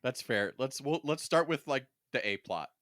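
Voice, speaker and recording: A man's voice: medium pitch, animated, moderate pace, fairly bright tone, no room sound, studio quality. A man hosting a podcast in casual, wry banter, joking and swearing freely as he talks. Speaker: podcast host.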